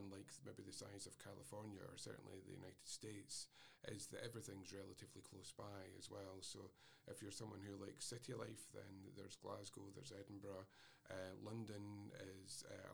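A man's voice: lowish voice, gravelly, breathy edge. A man interviewing a lecturer, fluent and friendly with some restarts. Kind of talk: interview about university study.

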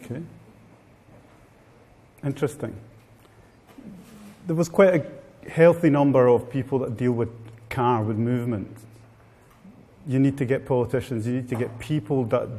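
A man's voice: mid-range voice, measured pace, long pauses, mellow, a little hiss or hum. Italian-accented man in an echoing hall. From Italy. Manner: informal, wry, addresses the audience directly. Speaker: guest speaker. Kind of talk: live seminar talk. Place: seminar room with live audience. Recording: long hall reverb.

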